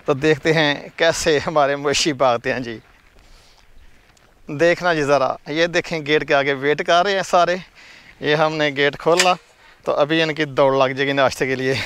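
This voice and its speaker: A fairly high-voiced man talks viewers through his farm out in the open fields. He chats easily, points things out as he walks, and speaks straight into a handheld camera mic. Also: fairly bright tone, clean tone. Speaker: farm vlogger. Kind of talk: casual farm tour narration. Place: outdoors on a farm among fields.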